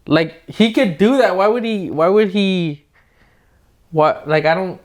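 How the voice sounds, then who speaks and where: A male podcast host with a fairly high, very clear and smooth voice. He talks casually and slangily, arguing his opinions like he's chatting with a friend. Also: unhurried. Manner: informal, slangy, argumentative. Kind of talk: casual advice podcast banter.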